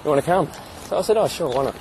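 A man's voice: a little high, expressive, rapid-fire, darkish tone. A man with an Australian accent rambling casually in an audio diary, blokey and informal with some mild swearing. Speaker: podcast host. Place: outdoors on an urban street. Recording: noisy outdoor recording with vehicle sounds.

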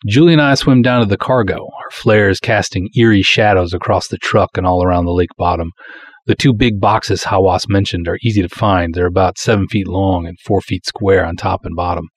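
A man's voice: low voice, fairly even intonation, normal speed, close to an everyday voice. A man playing a proud, folksy small-town cop who narrates his own pulp detective story in breezy, wisecracking, colloquial style. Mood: suspenseful. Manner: breezy, colloquial, hard-boiled wisecracking delivery. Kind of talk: dramatic first-person pulp story narration.

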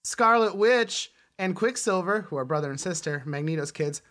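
Bright-voiced man, animated podcaster. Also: sits high, normal speed. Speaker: podcast co-host. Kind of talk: casual film and comics discussion.

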